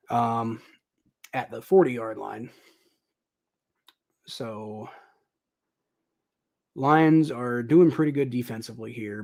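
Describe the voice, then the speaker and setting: A young man talks through a football game live, mixing quick play calls with loose, rambling asides full of ums and uhs. His voice sits at a middle pitch and has gone a little dry and raspy. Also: a little mellow. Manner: rambling, thinking aloud with many ums and uhs. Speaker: livestream host commentating football. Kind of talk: casual live play-by-play commentary.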